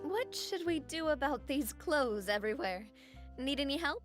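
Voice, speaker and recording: A woman's voice: sits high, expressive, normal speed, music in the background. A woman playing a playful, confident young female boss in a video game, breezy, teasing and eager to help. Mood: eager, helpful.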